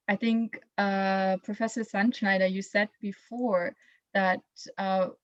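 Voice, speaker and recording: A woman's voice: mid-range voice, no room sound, highs cut off. A young woman, a student, moderating a panel and hesitating with many fillers.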